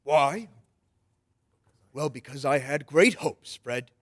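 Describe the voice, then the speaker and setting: A man's voice: medium pitch, expressive, takes it slowly. A man playing a jolly elderly Santa Claus in an old-time radio drama. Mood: wounded, indignant.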